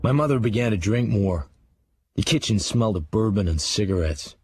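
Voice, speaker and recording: An actor playing a haunted, hard-bitten American writer, narrating a memoir in blunt, clipped sentences for a radio drama. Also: male voice, on the low side, lively intonation, moderate pace, a little mellow, breathy edge, no room sound, clean studio sound. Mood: hard-bitten, bleak.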